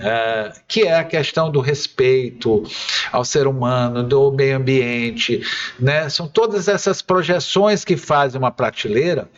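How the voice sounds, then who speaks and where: Host: man, lively, animated, slightly high voice, lo-fi sound. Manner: animated, chatty, stumbling repetitions. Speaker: radio show host. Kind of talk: radio interview conversation.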